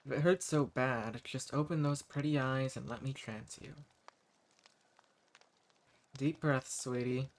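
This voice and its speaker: A man playing a centuries-old vampire in an audio roleplay, speaking straight to the listener with condescending, taunting menace. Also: mid-range voice. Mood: taunting, commanding. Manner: condescending pet names, taunting, unhurried menace. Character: centuries-old sadistic male vampire, coolly mocking and possessive. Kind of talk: scripted audio roleplay spoken directly to the listener.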